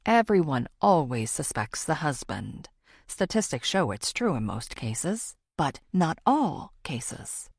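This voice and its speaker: A woman playing a thoughtful, determined amateur sleuth, narrating a thriller audiobook in an introspective, expressive way. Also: low voice, creaky, no echo, studio quality, full character voice.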